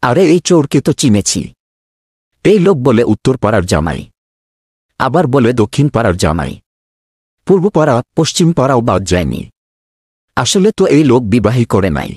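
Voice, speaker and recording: A man's voice: mid-range voice, sing-song, moderate pace, long pauses, a little mellow, husky, dry recording, studio quality. A man playing a mocking villager in a comedy cartoon, with an accusing manner. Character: mocking male villager exposing a trickster. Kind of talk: cartoon voice acting.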